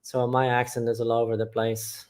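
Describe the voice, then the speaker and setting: A man's voice: medium pitch, completely flat delivery, normal speed, a little mellow. Blunt, matter-of-fact man from England. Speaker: channel host. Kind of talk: live chat reading viewer comments.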